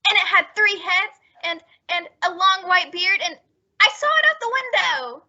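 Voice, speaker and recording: A woman playing an excitable, wailing little girl in an old-time radio family comedy. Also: very high-pitched, normal speed, exaggerated voice. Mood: scared, tearful. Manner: wailing, breathless. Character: frightened, excitable little girl, youngest daughter. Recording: muffled lo-fi radio-style recording.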